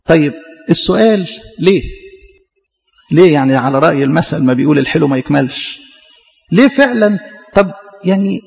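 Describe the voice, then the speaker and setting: Fast-talking Egyptian preacher in a hall. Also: male voice, sits high, a little mellow, muffled recording. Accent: Egyptian Arabic. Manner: questioning, repetitive. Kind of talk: sermon.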